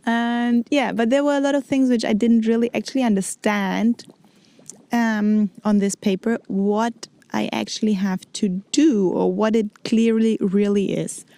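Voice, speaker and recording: A woman's voice: a little high, fairly even intonation, a little slow, dark tone, dry recording. A woman in her forties who grew up in Greece and Germany, talking casually as a vlog host, informally searching for words in non-native English. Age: 40s. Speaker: freediving instructor and show host. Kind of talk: casual personal vlog talk.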